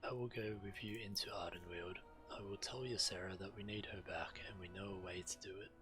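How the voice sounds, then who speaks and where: An actor playing an earnest, mournful old druid in a fantasy game, speaking solemnly with music behind him. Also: male voice, low voice, fairly even intonation, brisk, natural voice. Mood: mournful resolve. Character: earnest old male druid, mournful father seeking atonement.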